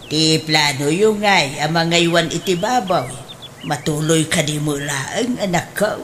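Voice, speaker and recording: Gentle elderly Ilocano mother, drawn-out delivery. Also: low-pitched. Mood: gently concerned. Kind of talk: radio drama acting. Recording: faint background noise.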